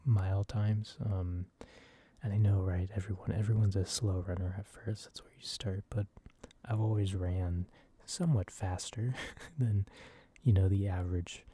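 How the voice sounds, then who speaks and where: A man softly rambling in a casual ASMR style, hesitant and informal, with a breathy voice. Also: deep voice, fairly even intonation, a little slow. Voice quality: soft, breathy. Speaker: video creator. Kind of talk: soft-spoken ASMR ramble.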